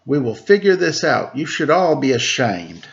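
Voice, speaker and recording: A man's voice: medium pitch, very animated, normal speed, vocal fry, slight background noise. A man voicing a determined mother who defends her daughter, firm and indignant, in a dull lo-fi recording.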